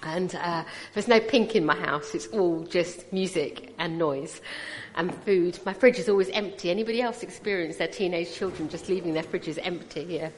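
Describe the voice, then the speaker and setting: A woman's voice: lowish voice, moderate pace, slight background noise. A woman in her forties from Punjab, India, with a British English accent, giving a chatty personal testimony as a guest speaker at church and teasing the audience to react. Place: church hall, indoors. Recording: long reverb of a large hall.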